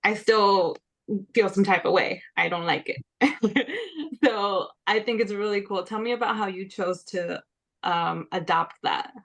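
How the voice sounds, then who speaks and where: A woman's voice: mid-range voice, lively intonation, unhurried, creaky. Chatty woman host from the Midwest. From Illinois, US Midwest. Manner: warm, affirming, explains at length. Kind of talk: casual conversational interview.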